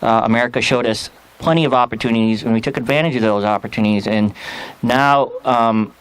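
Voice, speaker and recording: Man, from the Philippines, rally speech, halting, lots of fillers, smooth resonant voice. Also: medium pitch, steady tone, low-quality recording. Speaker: rally speaker, army veteran.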